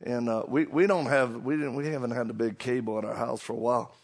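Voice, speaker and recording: Cajun preacher, middle-aged man, gravelly voice, hyped and joking on stage. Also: mid-range voice, steady tone, fast talker. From Louisiana. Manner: hyped up, joking, self-deprecating storyteller. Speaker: preacher and motivational speaker. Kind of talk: humorous stage talk to a live audience.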